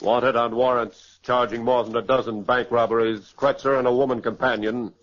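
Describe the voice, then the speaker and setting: A man's voice: mid-range voice, flat delivery, normal speed, no echo. Old time american radio narrator guy, grave n melodramatic, mid voice, muffled 1940s sound.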